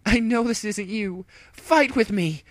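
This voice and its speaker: A man's voice: sits high, unhurried, slight background noise, voice pushed up. A man voicing a desperate, defiant female pony secret agent in a dramatic story reading, strained and pleading.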